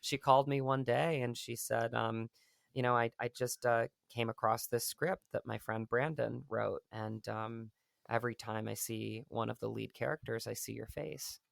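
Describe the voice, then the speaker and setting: An earnest man rambles through his story with plenty of fillers and restarts. His voice is gravelly and his pitch stays flat and even. The sound is dry and close to the mic. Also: normal speed, no echo. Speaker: actor guest. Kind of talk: casual interview conversation.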